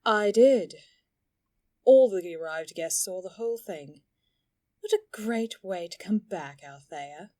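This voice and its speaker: A woman playing a middle-aged elf noblewoman and mother in a fantasy audio drama, measured, composed and dryly teasing. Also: medium pitch, expressive, a little mellow. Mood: wry, composed.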